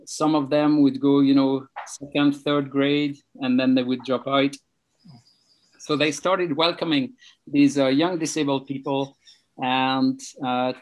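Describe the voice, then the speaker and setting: Swiss-accented guy on a video call, talks really slow, lots of ums, normal pitch. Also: low-quality recording. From Switzerland. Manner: slow, halting, many ums and pauses. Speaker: charity treasurer, guest speaker. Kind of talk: casual talk on a video call. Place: home near Belfast.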